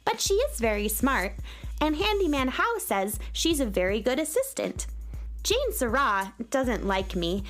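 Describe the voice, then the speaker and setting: A woman's voice: high-pitched, big pitch swings, measured pace, a bit bright, faint music behind, heavily acted. A woman playing an earnest young space heroine who narrates her adventures expressively in a children's radio series. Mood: storytelling. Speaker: narrator and lead character.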